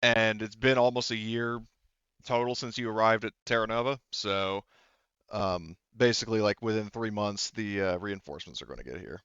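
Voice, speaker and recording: A man with a clear, fairly low voice acts as game master. He narrates casually and haltingly, rambling through explanations. The recording is close and dry but a little muffled. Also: a bit bright, no room sound.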